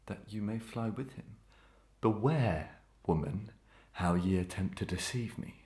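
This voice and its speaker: A man's voice: on the low side, measured pace, darkish tone, echoey room. A man playing a tormented, menacing murderer husband in a Victorian melodrama, declaiming grandly and commandingly in archaic English. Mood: commanding, bitter. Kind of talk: old-fashioned melodramatic stage acting.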